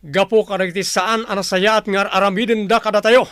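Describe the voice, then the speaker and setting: Earnest young warrior son, radio drama, slightly high voice, dry sound, determined. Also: male voice, level tone, fairly quick, fairly bright tone, no room sound. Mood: rousing, resolute. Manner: earnest, resolute. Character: determined, respectful young man leading his tribe's fighters.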